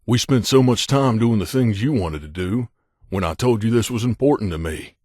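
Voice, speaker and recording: A man voicing an earnest, wounded wasteland companion in a video game, speaking sincerely and emotionally with hesitant pauses. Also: on the low side, lively intonation, a little mellow, slightly rough, no room sound, clean studio sound. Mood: bitter anger.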